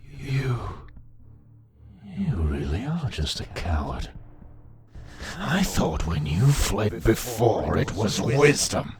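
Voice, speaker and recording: A man voicing a scornful, tempting entity in a fantasy story, taunting in a drawn-out, theatrical way. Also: fairly low pitch, sing-song, crowd noise, voice pushed down, heavily acted. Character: scornful, tempting inner entity, slow and dramatic. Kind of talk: dramatised fantasy audiobook with music.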